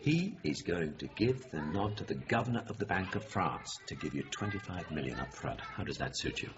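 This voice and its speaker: A man playing a firm, reasoning official negotiator in a radio drama, deliberate and pressing his point. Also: low voice, sing-song. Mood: measured, firm. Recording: dull, noisy radio drama recording.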